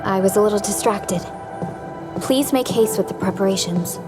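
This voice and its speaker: A woman acting as a young supervisor in a video game, earnest and polite. She speaks in measured, formal phrases at a middling pitch, with music clearly behind her. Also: natural voice. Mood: composed, concerned.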